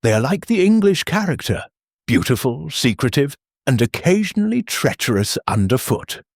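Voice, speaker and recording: A man voicing a courteous, formal Belgian gentleman detective in an audiobook. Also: medium pitch, big pitch swings, moderate pace, no echo, studio quality, voice pushed up. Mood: wry. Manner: formal, philosophical.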